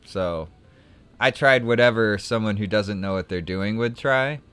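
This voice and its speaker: A man's voice: lowish voice, a little slow, fairly bright tone, smooth. Rambling young man streaming a card game. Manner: rambling, self-correcting storyteller. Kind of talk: casual gameplay chat and anecdote.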